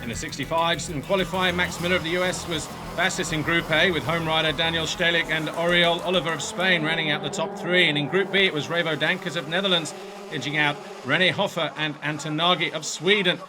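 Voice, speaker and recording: High-voiced male race commentator. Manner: brisk, excited play-by-play. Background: music bed.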